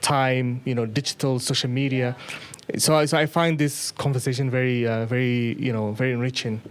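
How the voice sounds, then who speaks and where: A man's voice: medium pitch, steady tone, brisk. A man moderating a casual panel, reflective, moving between Somali and English.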